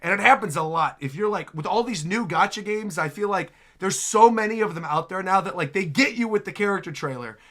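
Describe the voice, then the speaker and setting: A man hosting a reaction video, giving chatty, excitable casual commentary on game trailers. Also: high-pitched, fairly quick, hardly any pauses. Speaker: host, reaction video creator.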